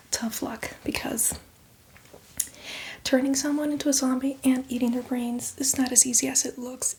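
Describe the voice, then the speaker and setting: A woman playing a boastful, playfully menacing female zombie in a chatty, teasing ASMR roleplay, speaking in a breathy, hushed whisper. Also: sits high, a little hiss or hum. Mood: gleeful, teasing. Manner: chatty, teasing, addresses the listener as victim.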